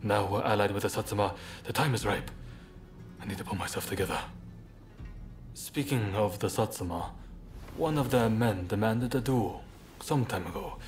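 An actor playing a composed, diplomatic young samurai leader in a video game cutscene, speaking in a measured, polite, thoughtful way. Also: male voice, fairly low pitch, faint music behind, lightly acted. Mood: wistful, friendly.

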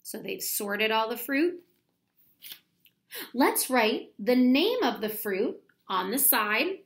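Animated woman reading to children, high-pitched. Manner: instructional, deliberate, questioning, addressing young children. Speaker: elementary teacher reading aloud. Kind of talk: children's picture book read-aloud with teaching asides. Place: small plain room at home, indoors.

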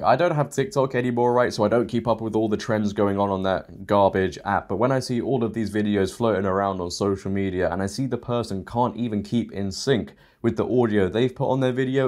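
A young man with a British accent and a lowish voice talks straight to the camera in a sarcastic, mocking tone. He sits in a small home studio, his delivery sharp and scornful. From Britain. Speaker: commentary host. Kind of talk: sarcastic reaction commentary.

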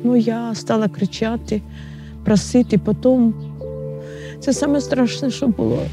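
A woman's voice: on the high side, measured pace, music in the background. A woman with a Ukrainian accent, a villager giving an emotional interview about the occupation, close to tears. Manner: agitated, on the verge of tears.

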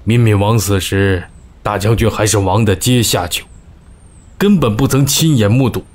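An actor playing a shrewd, deferential royal advisor in a costume audio drama, speaking in a measured, deliberate, scheming way. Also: male voice, on the low side, darkish tone, lightly acted. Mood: sly, assured. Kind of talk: Chinese costume radio drama acting.

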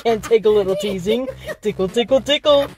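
A woman's voice: medium pitch, brisk, lightly acted. A woman playing a laid-back, teasing elder skeleton brother in an amateur audio drama, with a casual, playful manner.

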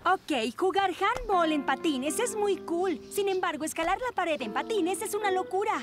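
A woman's voice: very high voice, expressive, moderate pace, over loud music. A woman voicing a bossy, sensible cartoon schoolgirl. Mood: disapproving.

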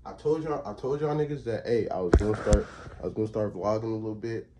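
Deep-voiced teen guy vlogging on a phone. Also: lively intonation, fast talker, heavy background noise. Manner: rambling and slangy, lots of fillers, talks to chat. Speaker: college student vlogger and streamer. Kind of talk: casual vlog chat to camera. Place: small bedroom and bathroom indoors. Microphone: phone camera held at arm's length or propped.

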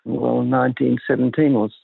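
An older man with a gravelly, husky voice, a guest on an interview show, speaking in a measured, thoughtful way with pauses and restarts. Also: medium pitch, little pitch movement, no room sound. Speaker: guest psychiatrist. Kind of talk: reflective interview talk. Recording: dry tinny phone-like line.

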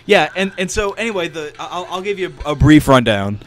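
A man's voice: a little high, big pitch swings, fairly quick, a little hiss or hum. A man telling a story in a casual, comedic podcast style, rambling and wry, close to a studio microphone. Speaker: podcast co-host. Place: small indoor podcast studio. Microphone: close studio microphone with headphones.

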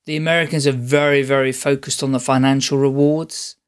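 A man hosting a podcast interview, speaking in a measured, encouraging way with some fillers. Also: medium pitch, level tone, smooth. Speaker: podcast host.

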